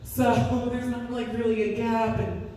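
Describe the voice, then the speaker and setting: A woman in her thirties with a raspy voice, a singer-songwriter chatting on stage between songs in a live music venue, rambling and self-deprecating. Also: a little high, level tone, a little slow, mellow, slight background noise. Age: 30s. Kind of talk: casual stage banter. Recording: echoey room, mic from a distance.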